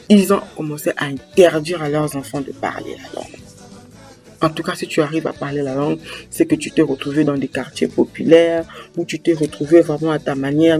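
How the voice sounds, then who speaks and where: A young man speaks with passionate emphasis. He hammers points home by repeating phrases, and his voice sits fairly high. Faint music runs underneath. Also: a little mellow. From Republic of the Congo. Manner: emphatic, repeats phrases for weight, building intensity. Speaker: host. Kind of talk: impassioned monologue on language and culture.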